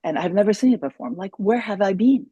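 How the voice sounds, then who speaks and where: A woman's voice: medium pitch, fast talker, mellow, breathy edge, no echo, muffled recording. A woman in her sixties, a native German speaker raised in France, telling stories animatedly as a podcast guest, with many restarts. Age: 60s. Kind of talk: casual conversation.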